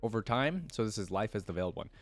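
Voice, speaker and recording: A man's voice: lowish voice, lively intonation, fast, soft background music. A man hosting a reaction video, commenting casually and informally, thinking aloud as he browses and reads.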